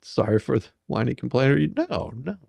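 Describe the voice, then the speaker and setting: A man's voice: medium pitch, very animated, brisk, vocal fry, a little mellow, slightly rough, no echo. A man hosting a casual livestream chat, rambling and repeating himself as he talks. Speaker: livestream host.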